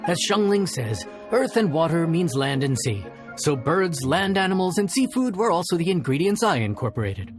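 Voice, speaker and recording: A man voicing a proud, courteous chef in a video game, speaking in a measured, earnest way over music. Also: fairly high pitch, very animated. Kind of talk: video game character acting.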